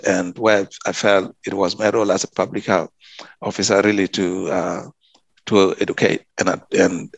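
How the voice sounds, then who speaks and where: A man's voice: fairly low pitch, gravelly, dry recording. A man, a public health officer answering interview questions earnestly, often restarting and repeating words. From South Sudan.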